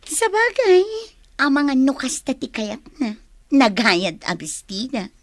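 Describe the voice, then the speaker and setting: Actress as a high, lively, haughty young woman. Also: takes it slowly, no echo, clean studio sound, heavily acted. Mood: smug. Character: vain, easily annoyed young woman office worker. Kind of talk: radio drama acting.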